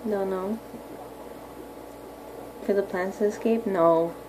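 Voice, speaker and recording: A woman's voice: mid-range voice, fairly even intonation, takes it slowly. A woman primary school teacher reading aloud to young children, explaining simply and often asking questions. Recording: clearly audible background noise.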